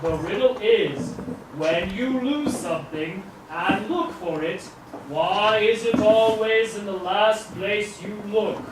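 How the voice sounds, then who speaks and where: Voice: mid-range voice, slow, lightly acted. A young performer voicing a grand magic sphinx guarding a tomb and posing riddles in a children's puppet show. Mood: sly, challenging. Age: teenage or young adult student. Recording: echoey noisy hall from far off.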